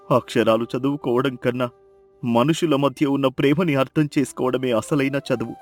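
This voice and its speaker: A man's voice: mid-range voice, close to an everyday voice. A man voicing an emotional village husband, hot-tempered and remorseful, in a melodramatic moral story. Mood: solemn.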